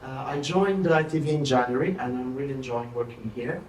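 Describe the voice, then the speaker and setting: A man's voice: mid-range voice, a little fast, slight background noise. A middle-aged man whose first language is Italian, with a mixed accent, giving a chatty step-by-step technical talk with slides at a meetup. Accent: mixed Italian, German and English. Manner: chatty, explains step by step, gestures at screen. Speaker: conference speaker. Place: large meetup room with projector. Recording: echoey room, recorded from a distance.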